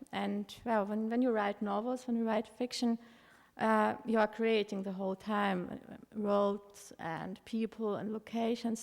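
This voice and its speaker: Gravelly-voiced woman, hesitant. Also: on the high side, a little mellow. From Germany. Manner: hesitant, many fillers, wry. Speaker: panel author. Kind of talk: panel discussion. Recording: reverberant hall.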